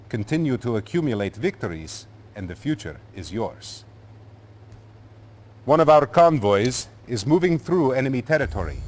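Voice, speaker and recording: A man playing a cold, calculating Soviet intelligence officer in a game cutscene, measured and smoothly authoritative. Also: mid-range voice, a little hiss or hum, lightly acted. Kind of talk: dramatic game cutscene acting.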